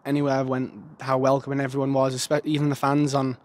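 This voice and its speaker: A man's voice: mid-range voice, completely flat delivery, fast talker. A young man giving a casual, earnest post-match interview outdoors in a stadium stand into a handheld mic. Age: young adult. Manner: casual, plain-spoken, earnest. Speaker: footballer.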